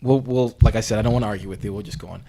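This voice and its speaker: A man plays an earnest amateur investigative podcast host with a lowish voice. He stumbles through his questions awkwardly and hesitantly. He is eager to avoid any argument. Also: racing, gravelly, dark tone, slight background noise. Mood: hesitant, bumbling. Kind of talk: mock investigative interview.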